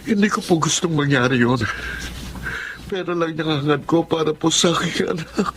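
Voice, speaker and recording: A man's voice: on the high side, normal speed, fairly bright tone, slight background noise, natural voice. A Filipino man playing a desperate, remorseful poor father in a radio drama, pleading and respectful.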